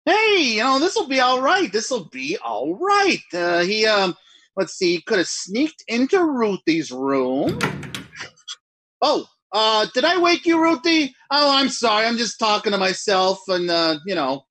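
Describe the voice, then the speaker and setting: A man's voice: high voice, very animated, measured pace, bright, forceful tone, full character voice. A man playing a jumpy writer alone at night, rambling and mocking himself in 1940s radio acting style. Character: jittery lone male writer talking to himself.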